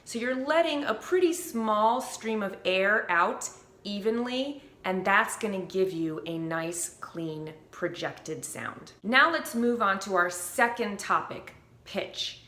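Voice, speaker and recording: A woman vocal coach explaining breath support and pitch in a clear, upbeat teaching manner, with short sung demonstrations. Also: animated, takes it slowly, bright, forceful tone, echoey room. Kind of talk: instructional video.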